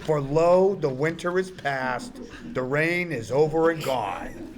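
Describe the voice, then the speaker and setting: Slow, earnest scripture reader. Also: male voice, a little high, a crowd in the background. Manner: slow, measured recitation. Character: earnest male wedding guest reciting scripture. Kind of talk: declaimed reading at a sitcom table read. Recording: live room with audience noise.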